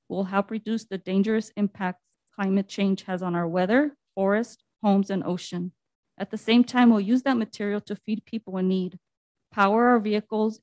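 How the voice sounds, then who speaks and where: A woman on city staff presents to the council, mostly reading her slides aloud and leaning on "um" between phrases. Her voice sits at a middling pitch, and the sound is dry and close. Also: darkish tone, no room sound, dull audio. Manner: reads slide text aloud with frequent fillers.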